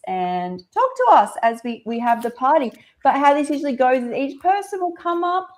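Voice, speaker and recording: Bubbly high-pitched Australian woman host. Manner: bubbly, excitable, laughs often. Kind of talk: casual live-stream chat. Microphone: video call.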